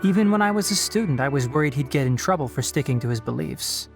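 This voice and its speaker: A man's voice: on the high side, animated, fast talker, creaky. An actor playing an earnest, thoughtful young male forest ranger in a video game, articulate and sincere. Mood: earnest, concerned. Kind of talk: scripted video game voice acting. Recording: music behind the voice. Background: faint music.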